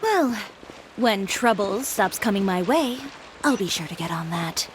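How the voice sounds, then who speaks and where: A woman playing a cool, sharp-tongued boutique owner who turns quietly menacing, in a game cutscene. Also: mid-range voice, animated, measured pace, a little hiss or hum, lightly acted. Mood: wry.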